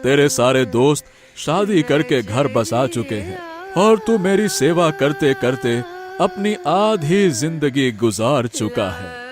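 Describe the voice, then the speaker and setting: A man's voice: mid-range voice, sing-song. A man playing a frail, sorrowful old village father in a cartoon, lamenting. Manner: slow, lamenting.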